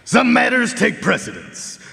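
An actor playing a dignified talking spaniel detective in a live radio drama, with formal, refined diction and dry wit. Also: male voice, very high voice, very animated, measured pace, gravelly, pushed and bright, exaggerated voice. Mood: dry, courteous. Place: stage of a performance hall. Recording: live in echoey concert hall.

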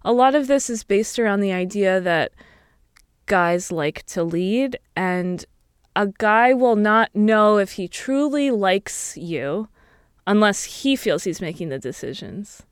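A woman in her early thirties chatting casually as an interview guest, explaining things in a thoughtful, halting way. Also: medium pitch, lively intonation, smooth, no echo, studio quality. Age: early 30s. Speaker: podcast guest.

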